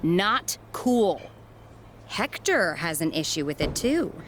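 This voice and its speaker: An actress playing a stern, reproving wrestling trainer in a video game cutscene. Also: female voice, lowish voice, sing-song, slow pace, background noise. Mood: scolding, challenging. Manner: firm, reproving. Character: stern female wrestling trainer scolding a rookie.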